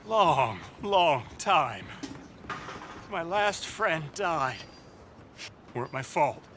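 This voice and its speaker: An actor playing a lonely old American war veteran begging for coins in an Old West video game, rambling and plaintive like a child. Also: male voice, fairly high pitch, lively intonation, very slow, noisy background. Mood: wistful. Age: older.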